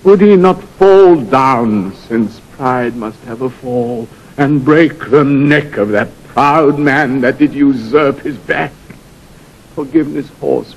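Slow, declamatory anguished proud king. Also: highs cut off. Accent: British. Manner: declamatory, lyrical, drawn-out delivery. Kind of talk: classical Shakespearean radio acting. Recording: noisy 1960 drama recording with rumble.

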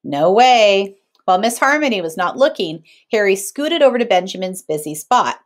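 Woman reading aloud, very animated pitch. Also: moderate pace. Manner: teacherly, checks in with listeners. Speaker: librarian reading aloud to children. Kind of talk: expressive picture-book read-aloud.

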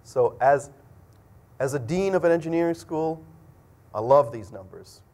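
A man's voice: on the high side, expressive, measured pace, dark tone. A middle-aged man speaking as a school dean, giving an explanatory, conversational presentation to students. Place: indoor lecture room at a podium.

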